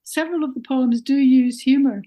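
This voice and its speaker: A woman from Ireland hosting a podcast interview, putting measured observations and questions to her guest. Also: sits high, mellow.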